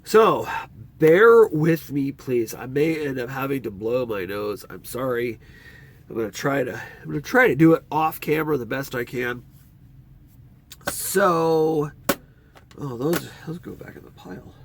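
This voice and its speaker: Congested man rambling about his movies. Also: a little slow. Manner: chatty, rambling, lively swings in pitch. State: congested nose. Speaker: movie collection vlogger. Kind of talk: casual collection walkthrough.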